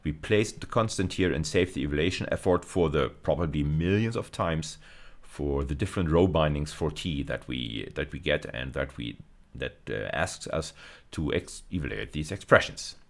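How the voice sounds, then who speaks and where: Male university lecturer, very low bass voice, halting explanatory delivery for technical teaching.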